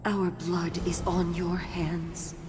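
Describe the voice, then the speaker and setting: A woman's voice: fairly low pitch, level tone, slow. A woman voicing a bitter, accusing apparition of the dead in a video game. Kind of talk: video game character acting. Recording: long reverb, faint background noise.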